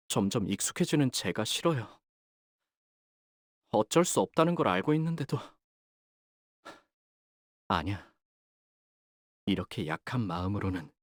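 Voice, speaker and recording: A man playing an earnest, dutiful young fixer in a video game, polite and soft-spoken but easily flustered. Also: medium pitch, no room sound, studio quality. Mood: quiet despair. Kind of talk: expressive game character voice lines.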